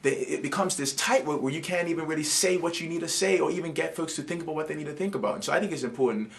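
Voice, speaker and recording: Fast-talking Bermudian man. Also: fairly high pitch, creaky. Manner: reflective, often repeats words while thinking. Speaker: education scholar and author. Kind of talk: interview answers, storytelling.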